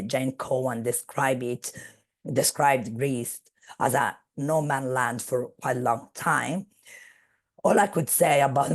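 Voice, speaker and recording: Woman speaker on a video call, slow and measured, gravelly and husky. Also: rumbling low. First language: Italian. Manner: measured, searching for words. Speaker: guest speaker. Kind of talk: academic webinar talk.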